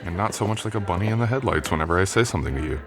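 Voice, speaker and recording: A young man playing a confident, teasing and protective college jock in an intimate boyfriend audio roleplay, speaking in a gentle, conversational way. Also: deep voice, lively intonation, rapid-fire, crowd noise. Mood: teasing, admiring.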